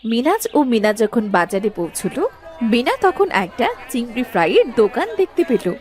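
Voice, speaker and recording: A woman's voice: on the high side, big pitch swings, moderate pace, darkish tone, faint music behind. A woman narrating a scripted cartoon village tale as its storyteller.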